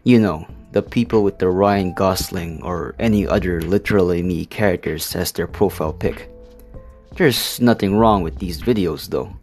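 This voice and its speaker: A man's voice: low-pitched, normal speed, a little mellow. A man giving scripted, comedic commentary as the narrator of a video essay. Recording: voice-over with music behind.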